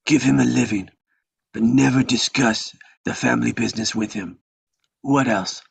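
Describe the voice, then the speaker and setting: An actor playing a stern, commanding old mafia patriarch with a gravelly, husky voice in a script table read. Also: male voice, mid-range voice, normal speed, dry recording. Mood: businesslike.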